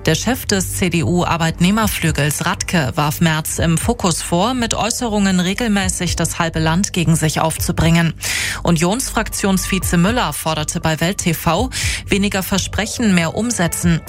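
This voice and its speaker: A woman reading a scripted news bulletin on the radio as the newsreader. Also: lowish voice.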